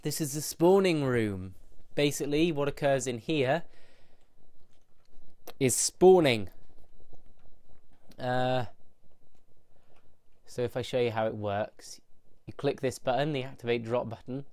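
A man's voice: mid-range voice, slow, long pauses, a little mellow, dry recording. A man with a stuffy, bunged-up nose, rambling casually through a gameplay tour with frequent restarts. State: stuffy nose from hay fever. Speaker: gameplay video host.

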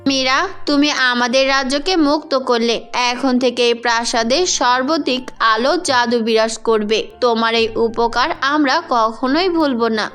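A woman voicing a kind, reassuring blue fairy in a children's fairy-tale cartoon, gentle and encouraging. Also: on the high side, measured pace, hardly any pauses, clean tone, faint music behind, voice pushed down. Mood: grateful.

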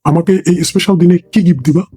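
A man playing a lecherous, foul-mouthed older father in a comic cartoon, talking in a crude, hurried way. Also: high voice, dark tone, husky. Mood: coaxing. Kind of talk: comic cartoon dialogue in colloquial Bengali. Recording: music behind the voice.

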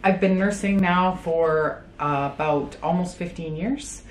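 A woman's voice: on the low side, a little slow, reverberant, slight background noise. A woman in her late thirties from Calgary, a travel nurse chatting casually to camera in a bedroom, upbeat with many fillers. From Calgary, Canada. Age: late 30s. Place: bedroom, indoors.

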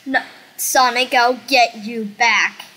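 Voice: medium pitch, fairly even intonation, slow, bright, forceful tone, slight background noise. A young-sounding gamer chatting casually in a live roleplay game, directing playmates with short calls. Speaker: gamer leading a Roblox roleplay.

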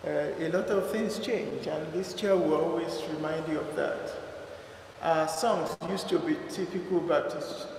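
Slow Nigerian man, echoey church hall. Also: fairly high pitch, darkish tone, slight background noise. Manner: unhurried, conversational, with fillers. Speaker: church member giving a reflection. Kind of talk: informal spoken reflection at a church service.

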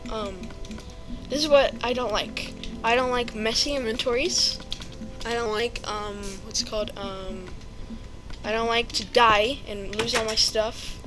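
Chatty woman, fairly high voice. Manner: chatty and hesitant, many ums, playful sound words. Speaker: video game player. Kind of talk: casual let's-play commentary. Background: background music.

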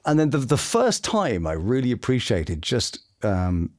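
Middle-aged male voice actor as interview guest: chatty, lively pitch swings, conversational storytelling. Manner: animated, chatty storytelling with quick asides. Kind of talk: casual interview anecdotes. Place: small home studio room, indoors.

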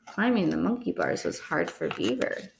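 A young woman reading a children's picture book aloud in an expressive, deliberate way, recorded indoors at home. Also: lowish voice, creaky, slight background noise, rough recording. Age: young adult. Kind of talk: storybook read-aloud. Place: indoors in a small room at home.

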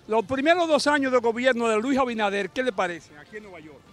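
Dominican guy reporting on the street, real high voice, quick and friendly, stopping people. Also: fairly bright tone. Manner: brisk, friendly, calls out to passersby. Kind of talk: street vox pop interviews. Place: busy city sidewalk outdoors. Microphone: handheld mic.

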